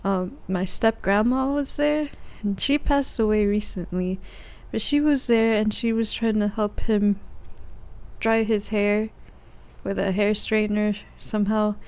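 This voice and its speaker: A soft-spoken woman hosting her own podcast, casually recounting her dreams in a relaxed monologue. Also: mid-range voice, measured pace, clear, resonant tone. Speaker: podcast host.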